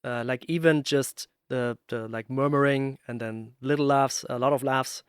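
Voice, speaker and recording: A man's voice: medium pitch, fairly even intonation, moderate pace, smooth, no echo, clean studio sound. A man hosting a podcast, chatting casually and searching for his words as he tells stories. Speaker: podcast host. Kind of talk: casual interview chat.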